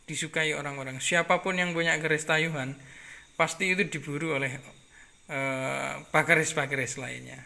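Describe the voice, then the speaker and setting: A man with a Madurese accent and a clearly nasal voice, talking in an easy, unhurried way to his viewers. His tone is bright and forceful, set a little above the usual male range. He repeats phrases and drops in soft filler sounds as he goes. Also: on the high side, steady tone. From Jember, East Java, Indonesia. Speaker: keris collector. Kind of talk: casual vlog talk to viewers.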